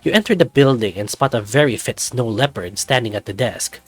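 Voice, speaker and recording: A man narrating a visual novel in the second person in a lively storytelling manner. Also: mid-range voice, fairly quick, faint music behind.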